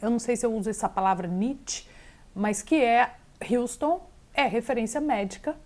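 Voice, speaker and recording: A woman's voice: on the high side, moderate pace. A Brazilian woman, a real estate agent, chatting in an interview with a Brazilian accent and often mixing English words into her Portuguese. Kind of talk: casual interview chat.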